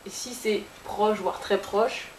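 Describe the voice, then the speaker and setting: A woman's voice: medium pitch, fairly even intonation, slow pace. A woman giving a casual, playful makeup tutorial with a chatty manner. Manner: chatty and playful, with sound effects and jokes. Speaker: beauty vlogger. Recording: echoey room with faint hiss.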